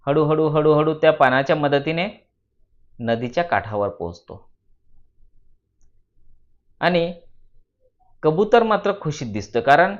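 A man from narrates a children's moral story in a deliberate, teacherly way, repeating phrases for emphasis. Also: on the high side. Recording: dull lo-fi voice recording.

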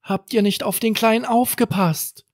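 A man's voice: high-pitched, fairly even intonation, moderate pace, no echo, studio quality, voice pushed up, close to an everyday voice. A man voicing an upset, tearful little boy in a children's bedtime story. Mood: questioning. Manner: pleading, tearful. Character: upset little boy missing his teddy bear.

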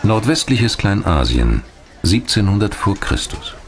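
Very deep-voiced male documentary narrator. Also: sing-song, a little slow, a faint crowd, a little hiss or hum. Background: music.

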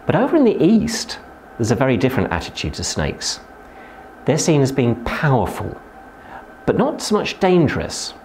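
Animated zookeeper explaining reptiles. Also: male voice, normal speed, a little hiss or hum. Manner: lively, emphatic explainer with sudden pitch rises. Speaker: zookeeper giving a tour. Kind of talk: educational talk to camera. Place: indoor reptile house with lit terrariums.

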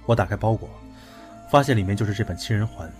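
An actor playing a grave, commanding young man, an elder friend, solemn and measured, in an audio drama. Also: on the low side, a little mellow, faint music behind, natural voice. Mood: grave, confiding. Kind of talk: Chinese audio drama acting.

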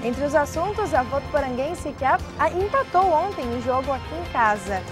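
A woman's voice: high-pitched, animated, moderate pace, over music. A young woman presenting a local TV newscast from a studio, reading items with a few self-corrections. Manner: reads from notes, small restarts. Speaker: news anchor. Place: indoor studio set. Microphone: lapel mic.

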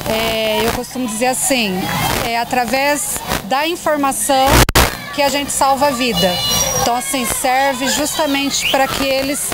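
A woman in her forties giving an on-camera news interview outdoors, speaking in a measured, thoughtful way into a handheld mic. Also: sits high, steady tone, over loud music, poor audio. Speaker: education official. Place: outdoors by a building, street traffic. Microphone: handheld reporter mic. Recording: outdoor street noise.

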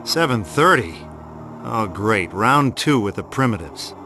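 An actor playing a cocky, sarcastic American action hero with slangy delivery in a video game. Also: male voice, lowish voice, big pitch swings, a little slow, pushed and bright, soft background music, heavily acted. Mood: sardonic. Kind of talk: video game cutscene acting.

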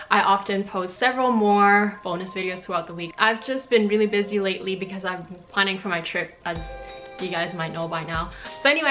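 A woman's voice: mid-range voice, fairly bright tone. A woman in her thirties, a native Cantonese speaker, chatting casually and explaining things to viewers as a vlog host in a room at home. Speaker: fashion vlog host answering viewer questions. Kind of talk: casual chat to camera. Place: small room at home, indoors.

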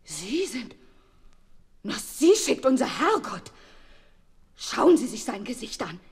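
An actress playing a melodramatic, gushing housekeeper in an audio drama, wailing and breathy in a theatrical way. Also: female voice, a little high, very animated, slow pace, a little mellow, heavily acted. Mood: horrified whisper. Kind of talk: German audio drama acting.

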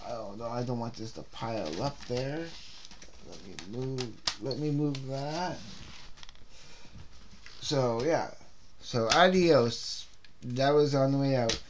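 A man's voice: medium pitch, slow, pauses often. A man hosting his own CD review series, chatting casually and rambling, repeating words and restarting sentences, with a slightly scratchy throat. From Canada. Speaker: host of a CD review video series. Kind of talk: casual unscripted music album review.

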